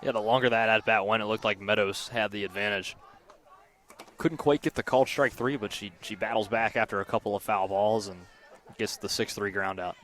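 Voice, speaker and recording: A young man giving conversational color commentary on a live softball broadcast. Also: lowish voice, steady tone, brisk. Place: outdoors at a softball field.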